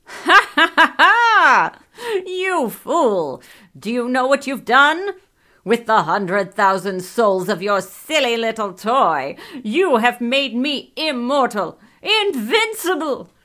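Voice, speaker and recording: A woman voicing a gloating, ancient male wizard king, a megalomaniac fantasy villain with theatrical, drawn-out exclamations. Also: fairly high pitch, animated, sharp tone, pitched above the performer's own voice, full character voice. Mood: gloating triumph. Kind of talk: fantasy audiobook character acting.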